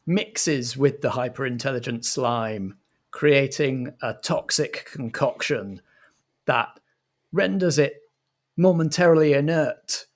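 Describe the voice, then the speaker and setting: A man narrating a tabletop game as game master, with theatrical, deliberate phrasing. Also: medium pitch. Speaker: game master, playing a director.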